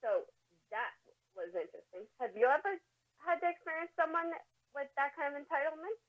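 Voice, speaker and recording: A woman podcast co-host speaks in casual, unscripted conversation at a middling, slightly higher-than-male register. She stumbles a little, repeating and restarting words. The sound is muffled and lo-fi, close to a phone line. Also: sing-song, fairly quick. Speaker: podcast co-host and amateur fiction writer.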